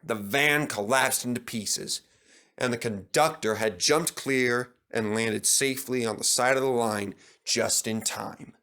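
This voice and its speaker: A man's voice: medium pitch, unhurried, fairly bright tone. A man reading a scripted children's story as its narrator.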